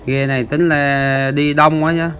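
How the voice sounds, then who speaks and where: Bright-voiced man explaining fishing footage. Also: a little high, little pitch movement, a little slow, slight background noise. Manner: chatty, explaining, many filler sounds. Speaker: fishing channel host. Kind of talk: casual voice-over commentary.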